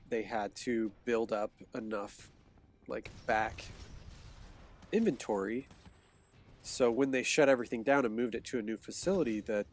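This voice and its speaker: A man in his thirties with a cold, telling his own past in a hesitant personal monologue full of restarts. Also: medium pitch. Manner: hesitant, many restarts and fillers. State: congested from a cold, sniffling. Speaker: vlogger recounting his past.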